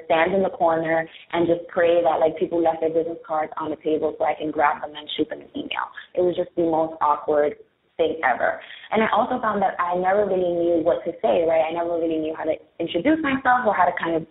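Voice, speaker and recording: A woman's voice: fairly low pitch, echoey room. A young woman with a Spanish and New York Bronx accent, candidly explaining branding tips step by step as an interview guest. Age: young adult. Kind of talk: interview answers. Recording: tinny phone-like audio.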